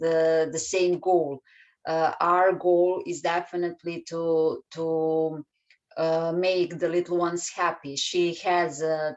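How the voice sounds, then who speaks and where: Serbian accent lady, kinda low voice, slow and halting with lots of uhs. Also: highs cut off. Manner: hesitant, with frequent filler sounds. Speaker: children's book author guest. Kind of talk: interview answers.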